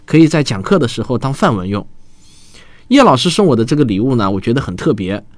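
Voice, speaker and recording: Animated male host in a dry recording. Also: medium pitch, dry recording. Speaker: programme host. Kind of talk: spoken commentary to listeners.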